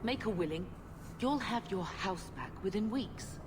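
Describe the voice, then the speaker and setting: A woman's voice: mid-range voice, expressive, a little slow, background noise, natural voice. An actress playing a dignified, indignant middle-aged mother in a video game, earnest and formal. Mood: resolute.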